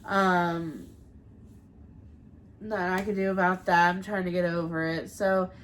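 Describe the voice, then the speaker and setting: A woman's voice: lowish voice, flat delivery, a little slow, a little hiss or hum. A young woman with a cold, reading a folk tale aloud on a podcast with small slips and restarts, then chatting casually. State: has a cold. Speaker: podcast co-host.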